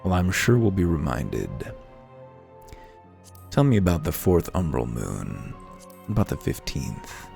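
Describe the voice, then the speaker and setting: A man's voice: sits low, sing-song, measured pace, gravelly, long pauses, a little mellow, soft background music. A man hosting a let's-play, reading game lore aloud and breaking off for dry, wry asides.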